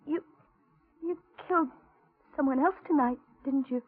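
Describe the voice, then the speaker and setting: An actress playing a frightened, lonely young waitress, tense and hesitant, in a 1940s radio thriller. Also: female voice, high voice, measured pace. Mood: timid, anxious. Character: frightened, lonely young waitress held captive, telling her ordeal. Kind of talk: 1940s radio thriller acting and first-person narration. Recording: tinny 1940s radio recording with music.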